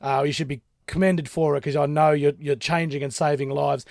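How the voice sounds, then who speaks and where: A man's voice: on the high side, little pitch movement, brisk, creaky, a little mellow, dry recording, clean studio sound. An Australian man hosting a chatty radio interview in an enthusiastic, matey way. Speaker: radio host.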